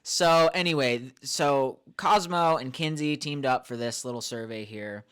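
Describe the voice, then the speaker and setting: A man in his thirties co-hosting a casual podcast, chatty and quick to laugh as he sums up an article. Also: mid-range voice, a bit bright, clean tone. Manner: chatty, laughs often, reads out survey findings. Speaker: podcast co-host.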